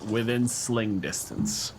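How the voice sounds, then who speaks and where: A man's voice: on the low side, steady tone, slow, slight background noise. A man acting as game master, casually narrating a tabletop game in a descriptive, unscripted way. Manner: descriptive, casual, swears freely.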